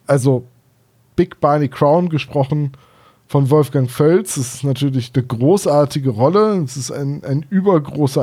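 Mellow, higher-voiced man chatting as co-host. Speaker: podcast co-host. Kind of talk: casual discussion of audio dramas and books.

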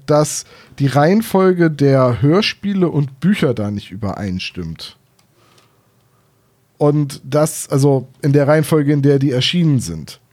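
A man's voice: fairly high pitch, a little mellow. A man in his thirties chatting casually as a podcast co-host, explaining things in careful detail. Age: 30s. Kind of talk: casual discussion of audio dramas and books.

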